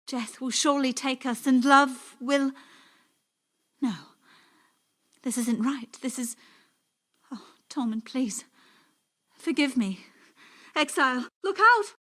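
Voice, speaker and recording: An actress playing a devoted, grieving young woman in a fantasy video game, earnest and pleading, with hushed, halting delivery. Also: on the high side. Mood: fervent, solemn, then horrified, distraught. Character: grieving, obsessively devoted young woman seeking resurrection of lover.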